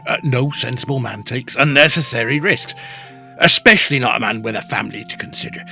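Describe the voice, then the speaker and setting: A man's voice: medium pitch, lively intonation, a little fast, pushed and bright, faint music behind. Man acting a nervous, stammering husband in a ghost drama. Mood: flustered, defensive. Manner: stammering, hesitant, fussy, defensive. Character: nervous, evasive husband, anxious to flee haunted house. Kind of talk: period ghost story audio drama acting.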